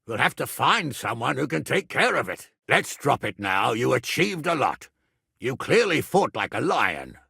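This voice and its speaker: Irascible medieval nobleman, raspy, wildly swinging intonation, commanding, video game dialogue. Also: mid-range voice, sharp tone, dry recording, studio quality, full character voice. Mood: grave, matter-of-fact, then gruff, businesslike. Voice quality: gravelly, raspy. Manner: gruff, commanding, scolding. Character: blustering, irascible medieval nobleman, guardian of his ward.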